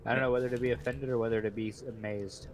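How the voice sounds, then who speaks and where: A man's voice: medium pitch, fairly even intonation, very fast. A man chatting casually as a co-player in an online game. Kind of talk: casual chat. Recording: noisy voice chat with typing. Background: keyboard typing, background noise.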